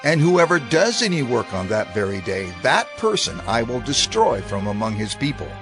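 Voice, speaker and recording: A man reading scripture as dramatized narration in a solemn, deliberate, declamatory way. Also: medium pitch, very animated. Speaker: scripture reader. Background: music.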